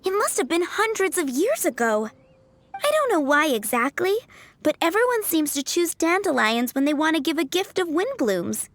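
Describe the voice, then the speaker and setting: High, chirpy young flower girl. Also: very animated, moderate pace, heavily acted. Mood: cheerfully explaining. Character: sweet young flower shop girl, cheerful and polite. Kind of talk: video game character acting.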